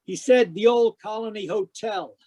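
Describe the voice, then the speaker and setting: A man's voice: high voice, normal speed, darkish tone. An actor playing a wry, inquisitive male private detective in a radio drama, telling his story in hard-boiled style. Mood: wry, narrating. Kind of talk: radio detective drama, first-person narration and dialogue. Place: live remote performance over video call.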